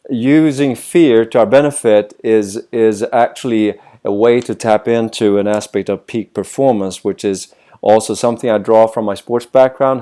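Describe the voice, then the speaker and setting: Man, middle-aged voice coach, mellow, lowish pitch, thoughtful rhetorical style over video call. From Sweden. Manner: thoughtful, many rhetorical questions. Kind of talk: reflective interview answers.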